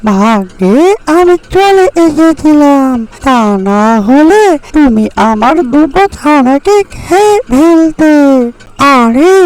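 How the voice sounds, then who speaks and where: Woman playing a high-pitched protective mother duck. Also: darkish tone, poor audio. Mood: caring, cautioning. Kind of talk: animated fairy-tale cartoon dub.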